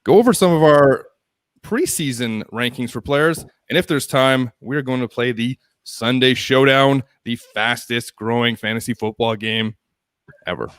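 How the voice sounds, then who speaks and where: A young man co-hosts a casual live sports stream, moving the talk along briskly and ribbing his partner. His voice sits at an everyday middle pitch and sounds close and dry, with no room around it. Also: a bit bright, clear, resonant tone, no echo. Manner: upbeat, brisk show-running, teasing. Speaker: co-host. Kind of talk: casual fantasy football chat.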